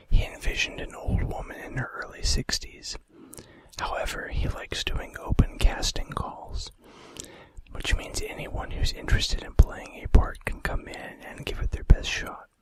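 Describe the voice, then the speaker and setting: Man whispering softly for ASMR. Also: dry recording. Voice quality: soft, breathy, unvoiced whisper. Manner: unhurried, casual asides. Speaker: ASMR video host. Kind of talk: ASMR, reading fun facts.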